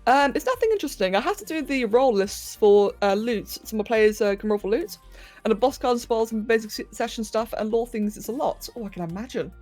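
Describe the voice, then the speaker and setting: A woman's voice: a little high, animated, fairly quick, a little mellow, soft background music. A young woman hosting a casual livestream, reading chat, greeting viewers and laughing as she talks to her pets. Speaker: livestream host. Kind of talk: casual chat with live chat while sculpting.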